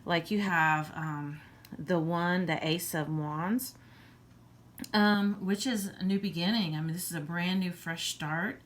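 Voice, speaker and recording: A woman with a hoarse voice giving a casual tarot reading, halting with many ums and frequent throat clearing. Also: lowish voice, a little hiss or hum. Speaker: tarot reader.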